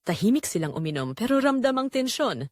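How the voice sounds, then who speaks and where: A woman's voice: a little high, big pitch swings, fairly quick, dry recording, clean studio sound. A woman with a Filipino accent narrating a scripted dramatic story as a storyteller.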